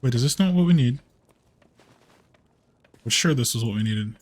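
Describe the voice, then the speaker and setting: A man chatting casually on a gameplay livestream in a halting way, often repeating his phrases. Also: mid-range voice, lively intonation. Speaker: live streamer, VTuber. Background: game music.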